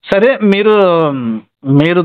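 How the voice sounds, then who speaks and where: Placating high-pitched man on a phone call. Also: clear, resonant tone, no room sound. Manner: conciliatory, placating, measured in argument. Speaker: debater on a recorded phone call. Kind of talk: phone debate. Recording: dull lo-fi phone call recording.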